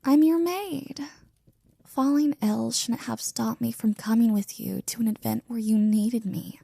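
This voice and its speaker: A woman playing a flirty, devoted maid in an intimate ASMR roleplay, breathy and often near a whisper, with a teasing, affectionate manner. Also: a little high, dark tone, dry recording. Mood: concerned, apologetic. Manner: teasing, affectionate, slow and drawn out.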